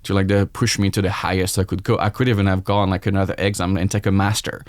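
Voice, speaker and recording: Low-voiced French man, reflective. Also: flat delivery, fast talker, no echo, studio quality. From France. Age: 40s. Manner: reflective, open, quoting his own thoughts aloud. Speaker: guest chef. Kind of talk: candid podcast conversation.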